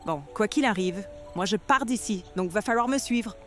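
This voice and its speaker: Lady acting as fed-up henchwoman, dry deadpan, medium pitch, bit of music behind. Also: normal speed, lightly acted. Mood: exasperated. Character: exasperated, dry female former assistant of a villain boss. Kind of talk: comedic audio drama acting in French.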